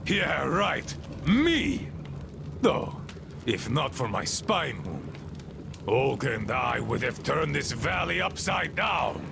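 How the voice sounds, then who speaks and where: An actor playing a bitter, commanding, eccentric old boatman in a video game, with a gravelly voice and a theatrical, grumbling way of rambling. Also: medium pitch, sing-song, takes it slowly, noisy background, heavily acted. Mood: bitter, accusing. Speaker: game character, leader of a small river crew. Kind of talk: dramatic video game monologue.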